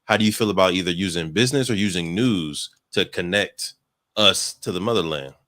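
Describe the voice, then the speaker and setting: A man who is a guest educator, asking questions and listening with steady affirmations. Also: deep voice, fairly quick. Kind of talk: casual interview talk.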